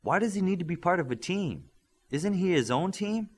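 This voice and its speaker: A man voicing an excitable young superhero student who asks eager, questioning things. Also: sits high, moderate pace, breathy edge, voice pushed up.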